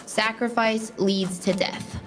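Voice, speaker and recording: A woman playing a steadfast, plain-spoken young Titan warrior in a fan audio drama, earnest and measured. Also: fairly high pitch, steady tone, faint music behind. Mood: reflective.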